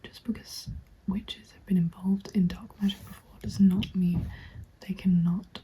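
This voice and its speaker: A woman whispers a gentle, chatty ASMR roleplay in a reassuring, breathy voice, close to the microphone. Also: medium pitch, steady tone, a little slow, poor audio. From England. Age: 20s. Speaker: ASMR creator playing a recruitment agent. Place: small dim room at home. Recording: constant background noise with keyboard typing. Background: keyboard typing and clicks.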